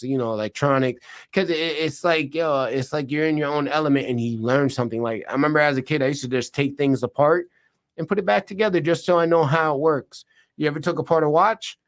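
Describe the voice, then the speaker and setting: A man co-hosting a podcast, talking casually in loose slang. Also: mid-range voice. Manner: loose slangy talk, drawn-out asides. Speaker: podcast co-host. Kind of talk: casual chat.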